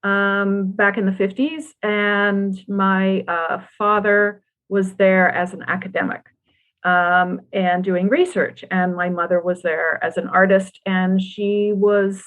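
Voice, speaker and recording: A woman's voice: mid-range voice, little pitch movement, takes it slowly. An older woman talking as a podcast guest, rambling with frequent ums and restarts. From Burma, raised partly in the United States. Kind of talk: casual interview. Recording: tinny phone-like line.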